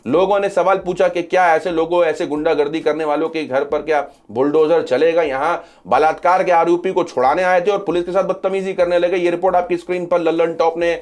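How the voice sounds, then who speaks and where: Higher-pitched man presenting news commentary. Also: steady tone, brisk, few pauses, darkish tone. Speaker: social media news commentator. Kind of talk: news commentary sharing updates to camera or over screen.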